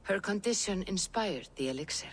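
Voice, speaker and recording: An actress playing a calm, mystical Norse seeress in a video game, reverent and reassuring, with a measured, ceremonial delivery that turns hushed at times. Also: female voice, medium pitch, a little hiss or hum, close to an everyday voice. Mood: calm, mysterious. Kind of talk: dramatic video game acting.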